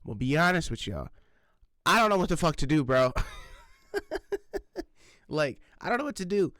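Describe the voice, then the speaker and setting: A man chatting loosely into an old desk microphone at home, his voice smooth and resonant. He rambles through fillers and restarts, curses offhandedly and chuckles at himself. The sound is close and dry, with no room around it. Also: fairly high pitch, big pitch swings, normal speed, fairly bright tone, no room sound. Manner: rambling with many fillers and restarts, swears casually, laughs at himself. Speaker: reaction channel host. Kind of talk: casual rambling vlog chat. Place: home recording setup, sitting at desk. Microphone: old desk microphone, sitting close.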